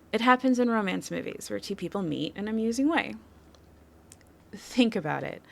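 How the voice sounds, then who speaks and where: A woman's voice: medium pitch, creaky, full character voice. A woman playing a confident, flirtatious criminal suspect in an audio roleplay, teasing the listener with a coy, playful delivery. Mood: seductive, persuasive.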